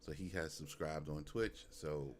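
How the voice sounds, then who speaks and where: A low-voiced man with a Brooklyn accent hosts a live stream in a relaxed, rambling way. He talks loosely and keeps tossing in "you know what I'm saying." A faint hum of room ambience sits behind him. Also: measured pace. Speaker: host. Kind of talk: casual livestream chat.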